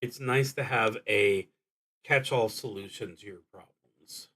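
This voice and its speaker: A man streaming a solo tabletop roleplaying game, thinking aloud casually and often pausing mid-sentence. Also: on the low side, fairly bright tone. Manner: halting, with frequent pauses mid-sentence.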